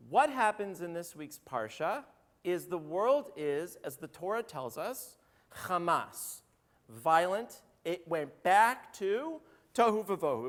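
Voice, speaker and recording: A man, a rabbi with a slightly hoarse voice, giving a chatty, joking sermon and calling on congregants by name. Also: high voice. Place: synagogue sanctuary. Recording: roomy hall reverb.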